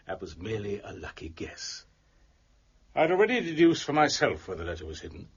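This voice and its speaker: Snooty self-serving police boss, breathy rough voice, very animated, dull audio. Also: male voice, fairly low pitch, normal speed, full character voice. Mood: scornful. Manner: pompous, condescending. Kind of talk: audio drama acting.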